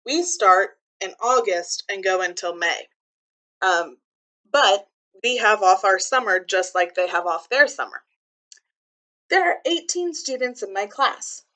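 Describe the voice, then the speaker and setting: A woman reading a children's book aloud like a teacher, pausing to explain things simply and clearly to young listeners. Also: medium pitch, lively intonation, pushed and bright.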